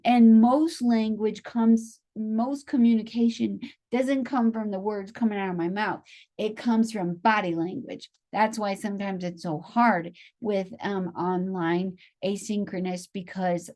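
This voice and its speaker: A woman teacher educator giving a classroom lecture, unhurried, thinking aloud with frequent pauses and checking in with her listeners. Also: mid-range voice, fairly even intonation, darkish tone. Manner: unhurried, checks in often with "okay?" and "right?". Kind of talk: classroom lecture explaining a chart.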